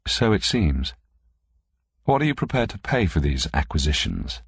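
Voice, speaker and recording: A man voicing a cool, wary male thief in an audiobook, speaking in a mild, measured, understated way. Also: low voice, big pitch swings, husky, no room sound, clean studio sound, full character voice. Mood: businesslike.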